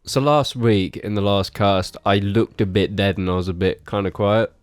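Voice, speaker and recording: A man's voice: on the low side, steady tone, moderate pace, smooth, no echo, studio quality. A young man co-hosting a podcast, sounding bunged up from a cold, joking and teasing his friends. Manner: jokey, teasing, calls others "bro". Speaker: podcast co-host. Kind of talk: casual podcast chat.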